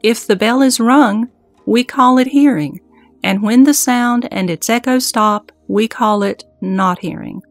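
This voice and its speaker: A woman playing an earnest, reverent monk disciple in a formal, measured scripture audio drama. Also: a little high, no echo, soft background music. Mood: questioning. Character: earnest, reverent young monk disciple questioning his master.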